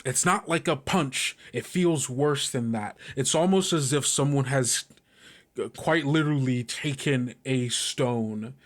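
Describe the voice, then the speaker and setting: A man narrating a tabletop game as game master, improvising vivid descriptions in halting, pieced-together phrases. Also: mid-range voice.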